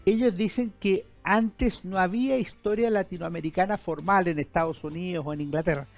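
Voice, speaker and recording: A middle-aged man with a notably high voice hosts a studio interview. He asks long, winding questions in a friendly tone over a headset mic, with faint music underneath. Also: steady tone, fairly quick, vocal fry. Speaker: historian and TV show host. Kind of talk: interview hosting. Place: TV studio set.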